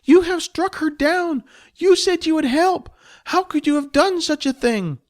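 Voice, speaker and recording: A man voicing a small, frightened female goblin who pleads and wails in a high voice. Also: lively intonation, unhurried. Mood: distraught pleading.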